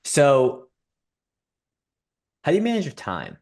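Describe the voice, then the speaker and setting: A man's voice: medium pitch, huge pitch swings, slow pace, darkish tone. A man in his thirties hosting a business podcast, chatting casually with candid, self-deprecating humor. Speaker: podcast host.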